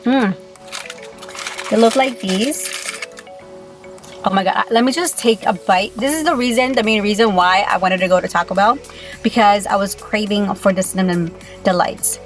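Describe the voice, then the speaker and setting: A woman's voice: mid-range voice, moderate pace, pauses often, faint music behind. A young woman from Peru, chatting casually to her vlog audience while eating and often saying "you guys". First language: Spanish. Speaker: beauty YouTuber. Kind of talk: casual mukbang vlog chat.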